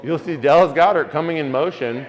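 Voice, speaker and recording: A man in his forties, a former football player co-hosting a casual sports podcast, joking around and calmly breaking down game plays step by step. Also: fairly high pitch, a little fast, low-quality recording. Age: 40s.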